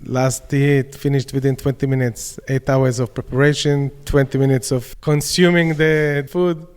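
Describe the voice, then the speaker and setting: A man with an Israeli accent, answering interview questions in a plain, slightly halting way with some fillers. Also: medium pitch, little pitch movement, darkish tone, clean tone, big-room sound. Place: community centre dining room.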